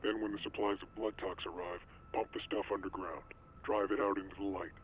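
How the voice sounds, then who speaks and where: A male actor plays a stern insider briefing an operative in a video game. His voice is very deep, measured and commanding. The sound is dull, with faint noise behind it. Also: little pitch movement, close to an everyday voice. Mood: urgent, commanding.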